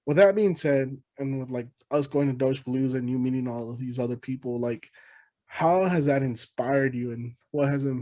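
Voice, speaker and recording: A man's voice: medium pitch, moderate pace, warm tone, dry recording. A man in his late twenties hosting a casual podcast chat, easygoing and agreeable, often restarting his sentences. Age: 20s. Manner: laid-back, agreeable, rambling restarts. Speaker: podcast host.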